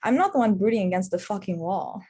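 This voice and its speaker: A woman's voice: mid-range voice, sing-song, fast talker, dark tone, breathy edge. A woman acting a combative, mouthy female paramedic in a screenplay table read.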